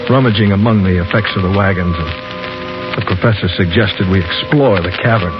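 A muffled old radio recording with prominent music carries a male actor as an earnest explorer in a 1950s horror play. His fairly low voice is deliberate and dramatic, like vintage radio storytelling. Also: natural voice. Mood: grave storytelling.

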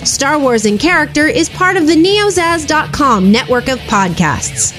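A woman's voice: high-pitched, big pitch swings, measured pace, a bit bright. A woman reading a scripted podcast outro as an announcer, with guitar music behind her.

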